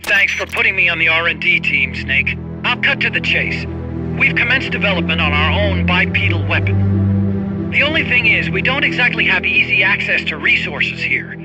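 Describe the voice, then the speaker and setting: A man's voice: high voice, expressive, moderate pace, dull audio, loud music behind the voice. An actor playing an earnest, polite male weapons engineer in a video game, eager and deferential. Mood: earnest, persuasive. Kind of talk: video game cutscene acting.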